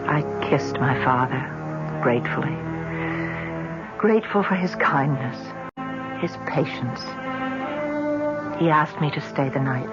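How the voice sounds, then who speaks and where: Radio drama daughter: troubled, earnest, steadfast. Dull vintage sound. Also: female voice, sits low. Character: troubled adult daughter Una, anguished but steadfast. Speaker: actress narrating and playing the lead. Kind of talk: old-time radio drama acting. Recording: dull vintage radio recording, music underneath.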